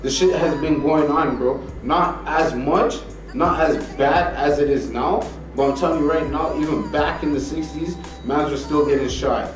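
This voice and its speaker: A man's voice: on the high side, moderate pace, hardly any pauses. A man, co-hosting a podcast, arguing insistently in a heated casual debate, swearing and repeating himself for emphasis. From Canada. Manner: insistent, argumentative, swears often, repeats "you know what I mean". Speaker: podcast co-host. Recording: echoey room, mic far off, faint music.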